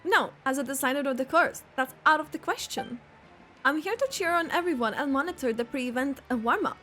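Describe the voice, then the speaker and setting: A woman playing a strict, earnest coach in an anime game, brisk, formal and instructing. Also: high voice, expressive, bright, forceful tone, faint music behind. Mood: earnest, matter-of-fact. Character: strict, earnest coach and tournament course designer.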